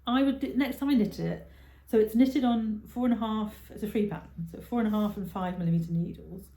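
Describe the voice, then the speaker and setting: A chatty woman co-hosts a podcast, laughing often and circling back mid-sentence. Her voice sits at a middle pitch with a mellow, warm tone, and the room adds a noticeable echo. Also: brisk. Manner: chatty, giggly, trails off and restarts. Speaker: podcast co-host. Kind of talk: casual chat. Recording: roomy echo.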